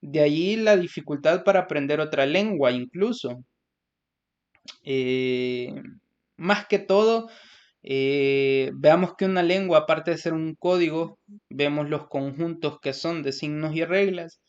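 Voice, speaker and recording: A man's voice: a little high, measured pace. A young man from El Salvador with a Salvadoran Spanish accent, giving a class presentation and explaining from his notes with many fillers and restarts. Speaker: student presenter. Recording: lo-fi muffled recording.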